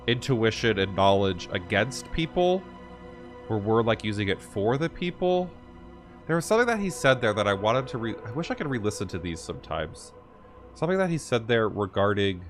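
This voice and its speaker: A man's voice: fairly low pitch, a bit bright. A man giving casual running commentary on a game, thinking aloud in a rambling, repetitive way. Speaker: gaming streamer. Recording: faint game music behind.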